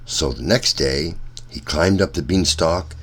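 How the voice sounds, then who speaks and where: A man reading a children's story aloud as narrator, with deliberate, measured phrasing. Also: sits low, a bit bright, breathy edge.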